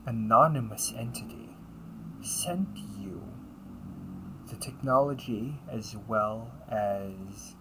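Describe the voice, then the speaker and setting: A man playing an earnest, weary time-traveling older brother turned villain in an amateur audio roleplay, speaking haltingly and often dropping to a breathy near-whisper. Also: fairly low pitch, fairly bright tone, exaggerated voice. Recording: noisy amateur home recording.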